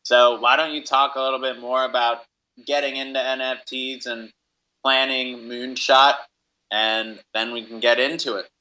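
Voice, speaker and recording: A man's voice: medium pitch, flat delivery, normal speed, pushed and bright, poor audio. A man hosting a casual interview, speaking conversationally as a fellow independent artist. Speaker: host, independent music artist.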